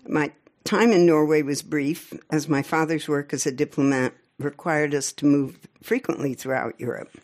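An older woman whose first language was Norwegian, reading from her own memoir in a measured way, with small slips and spoken asides. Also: deep voice, fairly even intonation, a little mellow. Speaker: author.

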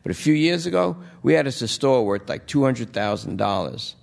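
A man's voice: medium pitch, lively intonation, moderate pace, vocal fry, voice pushed up. A man voicing a jittery, wheedling male junkie under arrest who pleads and rambles.

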